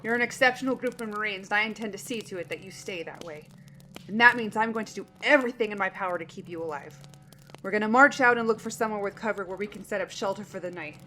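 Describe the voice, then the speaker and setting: A woman's voice: medium pitch, fast, pushed and bright, faint music behind, lightly acted. A woman playing a resolute marine sergeant in an audio drama, with a firm, rallying military manner. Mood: determined, rallying. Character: resolute female marine sergeant taking command.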